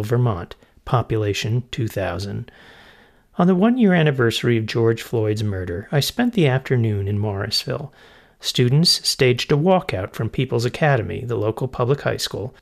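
Measured male radio show host. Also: medium pitch, vocal fry. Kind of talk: scripted radio introduction and interviewing.

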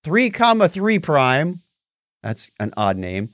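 A man's voice: on the high side, wildly swooping pitch, takes it slowly, fairly bright tone, dry recording, clean studio sound. A middle-aged man co-hosting a casual tech news show, talking with animation and stumbling over hard words. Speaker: podcast co-host.

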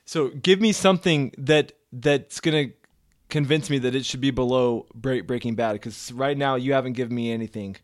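A man's voice: medium pitch, moderate pace, no echo. Chatty man, dry close sound. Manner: rambling, repeats words when starting sentences. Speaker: podcast co-host. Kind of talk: casual chat.

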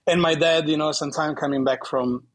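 A man from southern Italy speaking English with an Italian accent as an interview guest, talking in a halting way with many restarts and small laughs. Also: a little high, steady tone, husky. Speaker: guest bartender. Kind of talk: casual interview chat.

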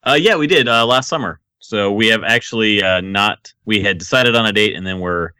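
A man's voice: on the low side, brisk, pushed and bright, clear, resonant tone, no echo. A man from Florida chatting on a podcast, hesitant and full of fillers. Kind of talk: casual chat.

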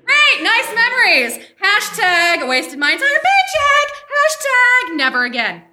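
A woman's voice: extremely high pitch, big pitch swings, measured pace, noisy background, heavily acted. A woman playing an exasperated, sarcastic girlfriend dumping a clingy ex, emphatic and scornful, in a live radio-style comedy sketch.

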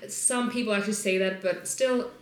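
A woman from Iceland chats as a guest in a relaxed interview. Her voice sits in the middle range, and she thinks aloud with plenty of fillers. The room around her gives a noticeable echo. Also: mid-range voice, brisk. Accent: non-typical Icelandic English accent. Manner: chatty, filler-heavy, reflective. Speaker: flight attendant, interview guest. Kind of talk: casual conversational interview. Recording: echoey room.

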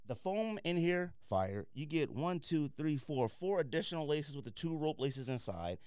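A man with a smooth, clear voice speaks in a dry, close-sounding space. He hosts a casual review podcast, giving firm opinions in relaxed slang and hammering points by repeating them. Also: fairly high pitch, moderate pace, no room sound. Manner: opinionated, slangy, emphatic repetition. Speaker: podcast host. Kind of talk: casual sneaker review chat.

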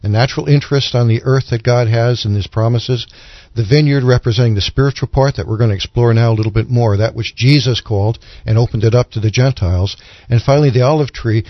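A man on a discussion panel explaining religious ideas in a deliberate, teacherly way. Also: medium pitch, dry recording. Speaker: panelist on a Bible discussion show. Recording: dull, lo-fi audio.

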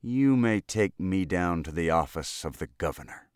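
Man acting a pompous, seething gentleman lobbyist. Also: low voice, expressive, normal speed, clear, resonant tone, no room sound, studio quality. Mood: grudging, stony. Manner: stony, indignant, seething.

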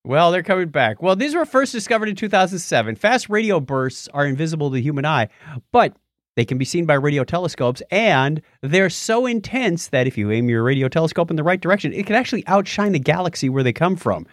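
Lively, higher-pitched man co-hosting a podcast. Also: quick pace, no echo, studio quality. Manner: jokey, conversational. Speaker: podcast co-host. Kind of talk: casual news banter and explaining.

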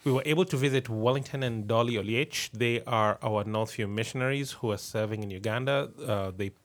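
A man's voice: mid-range voice, brisk. A man on a podcast earnestly telling of a mission trip, often repeating his words. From Kenya. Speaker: podcast co-host, pastor. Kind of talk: casual podcast chat.

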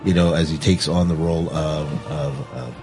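A man's voice: very deep voice, steady tone, moderate pace, over music. A man hosting a podcast, talking casually about film in a conversational discussion. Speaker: podcast host.

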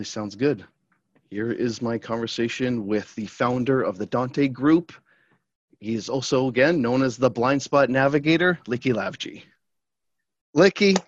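A man hosts a casual podcast, friendly and full of fillers and restarts. His voice sits at an ordinary pitch and sounds close and dry, with a muffled, lo-fi edge. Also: dry recording. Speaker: podcast host.

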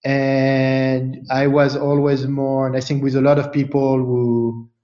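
A man from France, speaking as an interview guest in a thoughtful, halting way with many fillers and restarts. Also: medium pitch, completely flat delivery, warm tone, reverberant, muffled recording. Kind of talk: casual interview chat.

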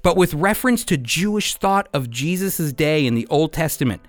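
A man's voice: on the high side, animated, moderate pace, no echo, studio quality. A man giving expository Bible teaching in an explanatory way, putting rhetorical questions to the listener. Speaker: Bible teacher and program host.

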